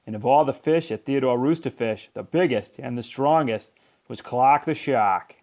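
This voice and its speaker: A man reading a children's picture book aloud in expressive narration, with emphatic, deliberate phrasing. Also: medium pitch. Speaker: storybook reader.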